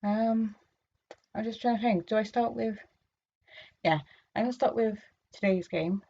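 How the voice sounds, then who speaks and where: A woman from England chatting casually to camera in a vlog, her throat a little sore and hoarse, rambling with lots of ums and restarts. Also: a little high, unhurried, warm tone, muffled recording. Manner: rambling, many fillers and restarts. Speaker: vlogger.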